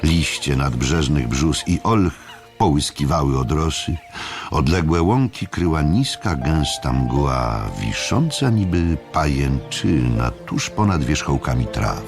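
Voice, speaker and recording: A man narrating an audiobook drama as a literary storyteller, measured and deliberate, with dramatic pauses. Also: very deep voice, animated, over music.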